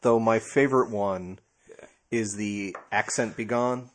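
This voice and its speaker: A man's voice: fairly low pitch, steady tone, a little slow. A man chatting casually as a podcast host. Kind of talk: casual chat.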